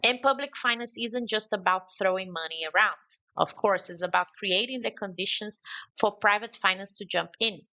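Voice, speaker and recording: A woman's voice: medium pitch, moderate pace, pushed and bright. A woman from Brazil with a Brazilian Portuguese accent, giving an earnest prepared video address to a conference. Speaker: conference speaker. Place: remote, pre-recorded video.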